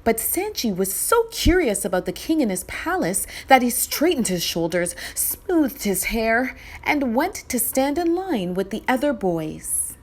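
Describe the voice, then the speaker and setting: A woman narrating a children's story read-aloud in an expressive, deliberate way. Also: on the high side.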